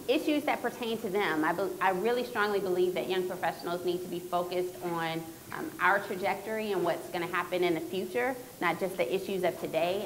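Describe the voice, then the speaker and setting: A woman's voice: fairly low pitch, slight background noise, low-quality recording. A woman introducing herself on a panel, speaking earnestly and steadily. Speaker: panelist, organization president.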